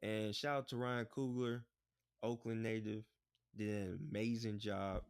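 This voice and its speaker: A man co-hosting a podcast in relaxed, rambling casual chat, often saying "bruh" and "like". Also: lowish voice, measured pace, smooth, no room sound, clean studio sound. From United States. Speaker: podcast co-host.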